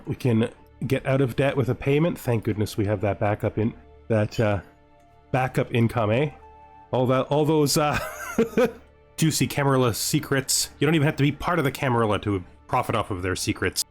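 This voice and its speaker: A man's voice: mid-range voice, normal speed, faint music behind. A man hosting a gaming video, thinking aloud in casual commentary and playfully gloating with in-character asides.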